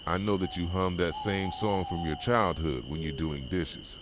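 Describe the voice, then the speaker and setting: A male actor performs a young boyfriend with a very low, soft voice, obsessive and betrayed. He pleads with dramatic intensity in an ASMR roleplay. Loud night noise of crickets and owls sits behind him. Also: measured pace. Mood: possessive, insistent. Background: night ambience of crickets, owls and insects.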